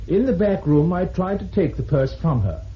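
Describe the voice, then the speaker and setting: A man's voice: on the high side, very animated, normal speed. A man playing a formal British Crown Prosecutor in a vintage radio drama, reading aloud to a court in a declamatory way. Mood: grave. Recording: muffled old radio recording, faint hiss.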